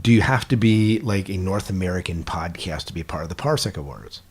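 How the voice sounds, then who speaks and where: A man's voice: low-pitched, a little fast, a little mellow, slightly rough. A man hosting a casual podcast interview, affirming answers, recapping them and posing questions.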